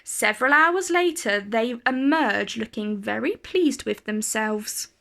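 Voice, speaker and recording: A woman reading a children's picture book aloud as a storyteller, with deliberate phrasing and dramatic emphasis. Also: on the high side, expressive, vocal fry, fairly bright tone.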